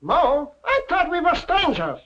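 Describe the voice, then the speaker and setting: An actress playing a cool, wisecracking streetwise helper in a 1930s film. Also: female voice, very high-pitched, wildly swooping pitch, unhurried, fairly bright tone, heavily acted. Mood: wry. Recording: muffled 1930s film soundtrack.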